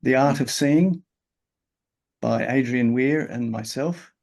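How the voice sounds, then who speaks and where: A man's voice: medium pitch, takes it slowly, creaky. A man hosting an exhibition, reading out accepted entries steadily with short asides. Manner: plain, steady, reads titles and adds short asides. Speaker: exhibition host. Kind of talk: announcing results.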